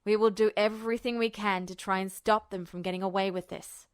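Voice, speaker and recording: A woman's voice: medium pitch, fairly even intonation, a little fast, smooth, dry recording, clean studio sound, voice pushed down, lightly acted. A woman voicing an earnest, apologetic military man in acted audiobook dialogue. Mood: regretful, earnest.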